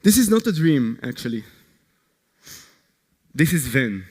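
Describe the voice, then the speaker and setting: A man from Israel, a startup co-founder, giving an earnest, energetic conference pitch that puts direct questions to the audience. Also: a little slow, slightly rough. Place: large conference hall. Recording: long hall reverb.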